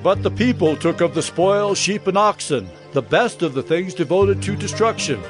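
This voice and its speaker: A man's voice: high voice, lively intonation, normal speed, soft background music. An actor playing a proud, insistent warrior king in a dramatized scripture reading, declaiming with emphasis. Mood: defensive, insistent.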